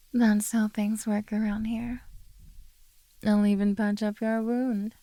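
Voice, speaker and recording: A woman playing a possessive, coaxing beastkin monster girl in a soft, teasing ASMR roleplay. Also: mid-range voice, vocal fry, no echo.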